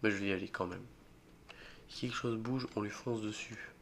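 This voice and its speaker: A young man with a cold, voice a bit rough, joking and getting spooked as he plays a horror game for his channel. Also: on the low side, steady tone, normal speed, fairly bright tone, slight background noise. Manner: jokey, easily spooked, mutters reactions and swears. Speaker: gaming video creator. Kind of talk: solo horror game let's play commentary.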